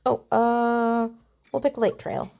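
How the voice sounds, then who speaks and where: Creaky, fairly high-voiced woman gamer. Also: slow, darkish tone. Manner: chatty, mild playful exclamations. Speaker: gamer voicing a playthrough. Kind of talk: casual game commentary with text read aloud.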